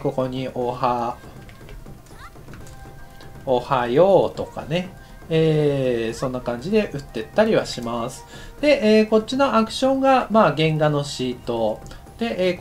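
A middle-aged man, an anime director, explaining a technical topic in a relaxed, chatty lecture full of fillers. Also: fairly high pitch, normal speed, soft background music. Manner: relaxed and chatty, many fillers, lively rising and falling delivery. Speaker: anime director teaching a course. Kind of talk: explanatory screen-share lecture.

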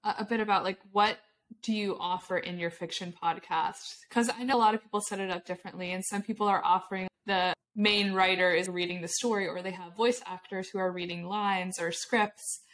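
A woman's voice: medium pitch, fairly even intonation, brisk, fairly bright tone. Young woman interviewer, faint background noise. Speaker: podcast co-host. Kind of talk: casual interview questioning. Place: indoor home room. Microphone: video call, wearing headphones. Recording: video call with background noise, clicks.

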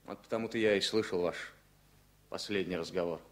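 An actor playing a shell-shocked former pilot, wry, grumbling and resigned, halting and ironic in a radio drama. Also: male voice, deep voice, close to an everyday voice. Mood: bitter, resigned. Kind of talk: 1970 Soviet radio drama acting.

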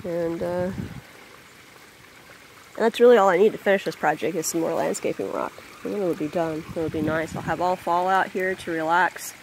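A person talking casually outdoors by a backyard pond into a handheld phone, rambling and hesitant with many pauses and ums. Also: medium pitch, fairly even intonation, a little hiss or hum, poor audio. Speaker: vlogger. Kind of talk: casual spoken vlog. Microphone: phone held by the speaker.

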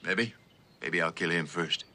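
A man's voice: sits low, a little slow, bright, forceful tone, full character voice. An actor playing a terse, world-weary tough lawman with a clipped, laconic delivery in an old screen drama. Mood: probing, cool. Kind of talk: understated mid-century screen acting.

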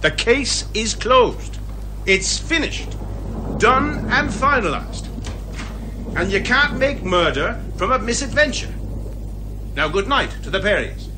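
A British actor playing a folksy, firm police inspector in a radio detective comedy, colloquial and avuncular. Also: male voice, sits high, sing-song, takes it slowly, pauses often, noisy background, full character voice.

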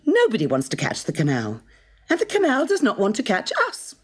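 Casting: woman as earnest little boy for storybook audio, pitched up high, lively swoops. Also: a little fast, slightly rough. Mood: earnest, curious. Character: small boy, naive, earnest and curious. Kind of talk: children's storybook reading.

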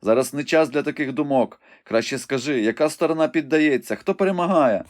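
A man's voice: fairly high pitch, brisk, voice pushed up, natural voice. A man playing a wounded young knight, impatient and commanding. His questions come urgent and emphatic, voice lifted a little above a man's middle range. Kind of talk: audiobook reading.

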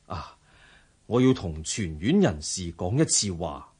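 A dignified monk in a radio play, modest and formal, deep voice that moves around a lot. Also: male voice, very animated, unhurried, darkish tone, breathy edge, full character voice. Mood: calm, courteous. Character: humble, dignified Buddhist monk, the national preceptor. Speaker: radio drama actor. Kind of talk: Cantonese radio drama acting.

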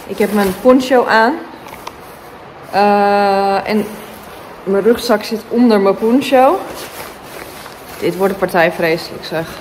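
A woman in her thirties talks into a phone held at arm's length, chatty and loose, with lots of filler sounds. Her voice sits at an ordinary middle pitch. Rain and passing traffic are clearly heard behind her. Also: slow pace, long pauses, a little mellow, rough recording. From Netherlands. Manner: chatty, with many fillers and restarts. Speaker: vlogger. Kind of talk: casual vlog chat. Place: in a car, then outdoors in the rain.

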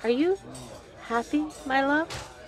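A woman's voice: sits high, slow pace, crowd noise. A woman playing a devoted, scheming criminal lover in a period radio melodrama, with romantic, theatrical phrasing. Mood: tender, tearful.

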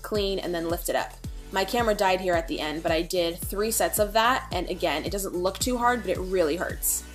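A young woman talks through her day in a bright, chatty vlog voice, peppered with casual fillers and easy enthusiasm. Her pitch sits right in the middle. Soft music plays faintly underneath. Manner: chatty, upbeat, lots of "like" and "you guys". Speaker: lifestyle vlogger. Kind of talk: casual try-on and workout vlog narration.

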